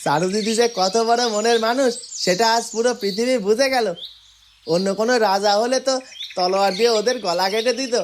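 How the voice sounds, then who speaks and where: A man's voice: high voice, brisk, natural voice. A man playing a humble, loyal street-snack seller in a cartoon, earnest and admiring. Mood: amazed, admiring. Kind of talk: cartoon fairy-tale dialogue. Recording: noisy, with clicks and birdsong.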